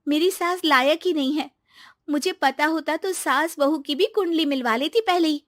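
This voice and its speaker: A woman voicing an earnest, sweet, complaining young daughter-in-law in an animated family comedy. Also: sits high, moderate pace, no echo, studio quality, pitched above the performer's own voice. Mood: plaintive, flattering.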